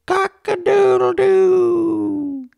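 A reader voicing a loud, insistent rooster with shrill, drawn-out crowing for a storybook. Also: vocal fry, dry recording, studio quality, heavily acted. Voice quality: shrill, piercing.

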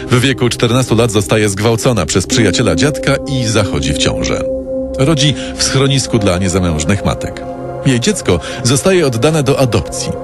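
A man narrating a scripted true-crime radio programme as a dramatic, present-tense storyteller. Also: mid-range voice.

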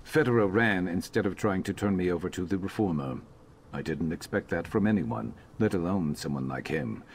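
Reptilian outlaw companion for a fantasy game: extremely low, guarded, dismissive, dry delivery. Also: male voice, normal speed, a little hiss or hum. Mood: wary. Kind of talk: fantasy video game dialogue.

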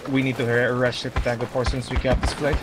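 A man leading a squad in a team shooter game, giving quick orders over voice chat. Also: mid-range voice, little pitch movement, very noisy. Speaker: squad leader. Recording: voice chat over loud gunfire.

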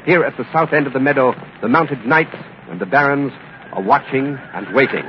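A man's voice: mid-range voice, moderate pace, lightly acted. A man acting as an on-the-scene reporter in a vintage radio news drama, describing events as they unfold. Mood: hushed suspense. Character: urgent male field reporter describing a live event. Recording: muffled, noisy vintage radio recording.

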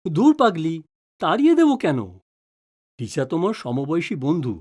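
A man's voice: fairly high pitch, sing-song, moderate pace, a little mellow, slightly rough. A man playing a patient, easily flustered middle-aged father who is wrongly accused in an audio drama. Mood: gently placating. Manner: stammers and repeats words when flustered. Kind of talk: Bengali audio drama acting.

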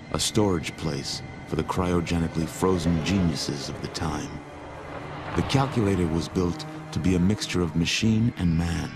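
An actor playing a solemn, measured war chronicler narrating a post-apocalyptic game. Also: male voice, deep voice. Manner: grave, measured storyteller delivery. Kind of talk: scripted game cutscene narration. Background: music.

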